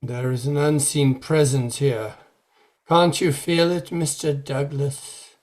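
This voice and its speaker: An actor playing a solemn old man who fears an old legend, speaking in slow grave warnings. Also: on the high side, steady tone, darkish tone. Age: older. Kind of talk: old time radio drama acting.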